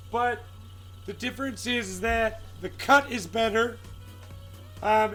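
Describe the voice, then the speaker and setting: A man with a raspy, strained voice chats casually on a live stream, searching for words and greeting viewers by name. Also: sits high, a bit bright.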